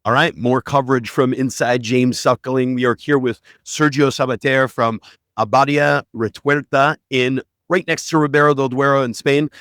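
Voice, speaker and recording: Upbeat guy hosting a podcast at a wine tasting, bright voice, dry close sound. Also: medium pitch, steady tone, normal speed, smooth, no echo. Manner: upbeat, chatty questions with filler ums. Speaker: podcast host. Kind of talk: on-site interview at a wine tasting. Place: busy indoor tasting event.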